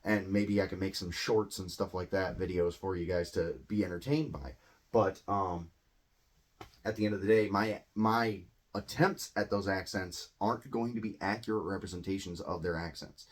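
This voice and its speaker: Low-voiced man rambling through a lesson. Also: fairly even intonation, normal speed. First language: English. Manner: rambling, filler-heavy, self-correcting. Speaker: English teacher. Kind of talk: casual explainer monologue.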